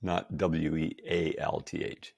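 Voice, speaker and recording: An upbeat man in his sixties holds forth as a podcast guest, his low voice rough with gravel and a husky grain. He hammers his points with repeated emphasis. The sound is close and dry. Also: level tone, takes it slowly, a little mellow, no echo. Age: 60s. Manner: enthusiastic, emphatic, laughs often. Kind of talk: casual chat.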